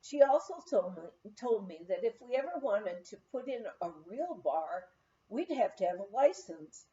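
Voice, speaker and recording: A woman's voice: medium pitch, sing-song, a little slow, mellow, muffled recording. A woman reading a memoir aloud as narrated storytelling, with expression. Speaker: audiobook reader.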